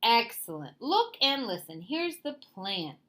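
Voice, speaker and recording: A woman's voice: a little high, big pitch swings, takes it slowly, fairly bright tone, exaggerated voice. A woman voicing a sly, smug male fox in a children's storybook, boastful, wheedling and condescending. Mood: bossy, scheming. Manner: boastful, theatrical, wheedling and dismissive.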